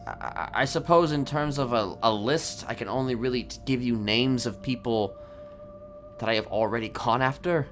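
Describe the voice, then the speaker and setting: A man playing a calmly boastful, evasive serial killer in improvised crime roleplay, rambling and hesitant as he confides. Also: medium pitch, soft background music, lightly acted. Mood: evasive, musing. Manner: halting, rambling, hesitant delivery.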